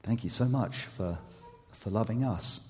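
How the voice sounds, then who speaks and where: A man preaching a sermon as an associate youth pastor, his voice going hoarse, speaking haltingly with many fillers and restarts and gentle self-deprecating humor. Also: on the low side. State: losing his voice. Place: church sanctuary. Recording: long hall reverb.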